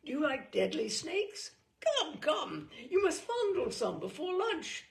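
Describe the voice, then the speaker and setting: A batty old lord of a crumbling manor, played by a woman storyteller, gushing and insistent with his hospitality. The delivery swoops widely in pitch, with creaky edges and a roomy echo around it. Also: wildly swooping pitch, a little slow, full character voice. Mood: gleeful, distracted. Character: delighted, batty old patriarch of a decaying manor, male.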